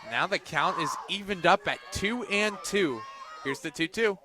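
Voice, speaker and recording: A young man doing live radio play-by-play of a softball game as the announcer. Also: sits high, animated, unhurried. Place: outdoors at a softball field. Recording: outdoor ballpark noise, crowd behind. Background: crowd and outdoor ambient noise.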